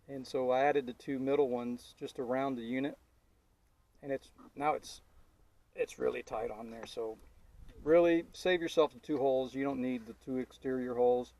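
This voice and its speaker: A man giving a casual DIY tutorial as he works, thinking aloud in an informal, halting way. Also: mid-range voice, vocal fry, darkish tone. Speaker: DIY car vlogger. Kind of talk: casual hands-on installation tutorial, talking while working.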